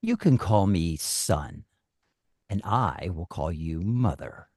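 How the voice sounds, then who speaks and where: Man acting a very deep-voiced, menacing kidnapper. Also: animated, takes it slowly, darkish tone, husky, no room sound, clean studio sound, exaggerated voice. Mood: coldly demanding. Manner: curt, commanding, threatening. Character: menacing male kidnapper, volatile and cruel, on the phone. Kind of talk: dramatic script table read.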